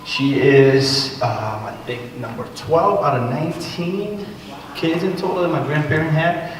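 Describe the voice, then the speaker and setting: A man's voice: fairly high pitch, unhurried. A man from Puerto Rico, a guest speaker on workforce development, giving an earnest, personal talk to a training group. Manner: personal, earnest, walks around while talking. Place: large echoey meeting room. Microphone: room mic from a distance. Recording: echoey hall, distant mic, faint noise.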